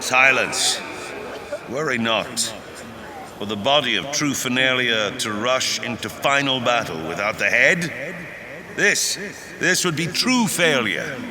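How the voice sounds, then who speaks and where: A man's voice: medium pitch, lively intonation, slow, a crowd in the background. A man playing a grandiose, solemn leader delivering stately, zealous oratory in a theatrical scripted speech. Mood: grave, commanding. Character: grandiose male leader rallying a nation with solemn zeal. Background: music.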